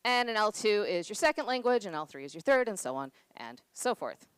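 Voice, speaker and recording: A woman's voice: mid-range voice, big pitch swings, a little fast, clean tone, no room sound. A woman in her thirties from the United States giving a chatty, self-deprecating conference talk full of fillers. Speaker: conference presenter. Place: conference room.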